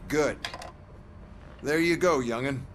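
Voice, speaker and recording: A man acts out a bullying police executive from a video game. His mid-pitched voice is smug and sarcastic, over faint noise and rumble. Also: takes it slowly, close to an everyday voice. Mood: smug, dismissive. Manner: condescending, sarcastic, domineering. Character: smug, bullying corrupt male police boss. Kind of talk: video game cutscene acting.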